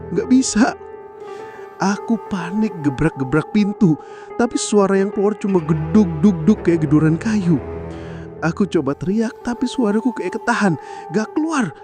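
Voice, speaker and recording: A man narrating a horror story in the first person, suspenseful and theatrical, pausing between short phrases. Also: on the high side. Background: background music.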